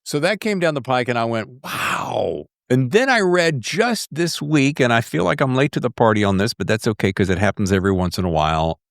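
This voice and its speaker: A man's voice: medium pitch, animated, brisk, gravelly, hardly any pauses, dry recording, clean studio sound. A man hosting a podcast, chatting casually in a chummy, effusive, emphatic way with plenty of slang. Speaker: podcast host. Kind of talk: casual interview chat, addressing guest and listeners.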